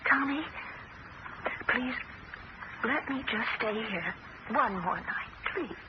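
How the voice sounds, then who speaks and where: An actress playing a distraught young woman who clings to her home and pleads in a breathy, trembling voice, in an old radio drama. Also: a little high, lively intonation, slow pace. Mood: pleading. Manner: emotional, imploring. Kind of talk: 1970s radio drama acting. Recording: dull, hissy old radio broadcast.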